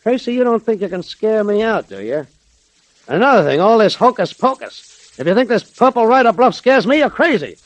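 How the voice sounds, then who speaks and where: A blustering gangland boss of a mining town in an old radio play. His voice sits high and snarls out slangy threats. The sound is dry, like a vintage thirties broadcast. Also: very animated, a little fast, no room sound, low-quality recording. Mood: menacing. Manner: snarling, slangy tough-guy talk. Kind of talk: 1930s radio acting. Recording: vintage 1930s radio recording.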